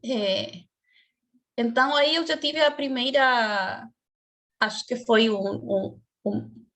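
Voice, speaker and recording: Woman guest speaker, fairly high voice, measured and explanatory, on a video call. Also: a bit bright. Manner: measured, explanatory, searching for words. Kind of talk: career talk in a webinar.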